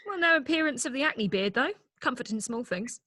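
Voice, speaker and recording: A breezy, blunt British woman, acted in a script read, with a bright, highish voice. She plays the successful, loyal best friend in a drama, recorded dry on a video call. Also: expressive, a little fast, no room sound, exaggerated voice. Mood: breezy, wry.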